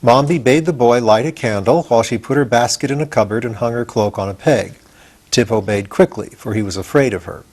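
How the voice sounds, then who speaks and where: A man, reading a children's story aloud as expressive audiobook narration. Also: medium pitch, rough recording. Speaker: audiobook reader.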